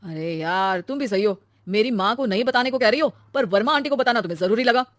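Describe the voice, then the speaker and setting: A woman's voice: mid-range voice, fast, no echo, lightly acted. An earnest young husband, played by a woman, with a light voice and blunt, emphatic delivery. He is easily exasperated, in a domestic story recorded dry and close. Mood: exasperated, angry. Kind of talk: acted story dialogue.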